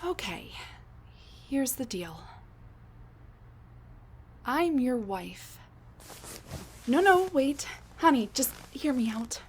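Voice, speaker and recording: A woman voicing a scatterbrained older woman scientist who has traveled back in time to meet her husband. She stammers and restarts, full of fillers, and sometimes drops to a hushed mutter. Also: high voice, very animated, drawn-out words, pauses often, a little hiss or hum, heavily acted. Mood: flustered, pleading. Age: middle-aged. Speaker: audio roleplay performer. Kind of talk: audio roleplay drama.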